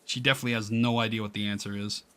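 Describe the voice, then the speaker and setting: A man's voice: fairly low pitch, level tone, very fast, sharp tone. A man streaming a visual novel, reading its text aloud with casual asides and often stumbling and rereading lines. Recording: music playing behind the voice. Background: game background music.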